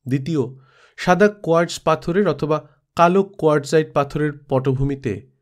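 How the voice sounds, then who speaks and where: A man narrates in the manner of an audiobook storyteller. His voice sits at an average pitch for a man, and he reads composed literary prose from a script. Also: darkish tone. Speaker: storyteller reading a classic audiobook.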